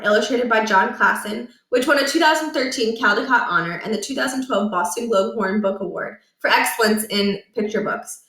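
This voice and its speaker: A woman's voice: fairly high pitch, fairly bright tone, far from the mic. A woman reading aloud as a storyteller, narrating a children's book from the text. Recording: echoey, roomy home recording.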